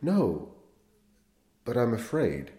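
A man reading the lines of a frightened eleven-year-old boy, despairing and rushed. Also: fairly low pitch, lively intonation, mellow, voice pushed up, natural voice. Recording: roomy echo.

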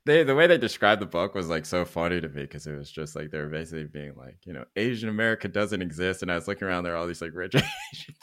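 Very deep-voiced man in casual talk. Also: huge pitch swings, quick pace, hardly any pauses, a bit bright. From born in Korea. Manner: opinionated, profane, discursive storytelling. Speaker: podcast host and writer. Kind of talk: casual podcast film chat.

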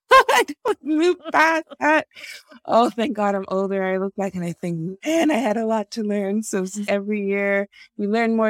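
Reflective guest, woman, middle-aged, from Nigeria, a bit gravelly, dry sound. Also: on the low side, no room sound. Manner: thoughtful, reflective, laughs often. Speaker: naturopathic physician guest. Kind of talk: conversational interview.

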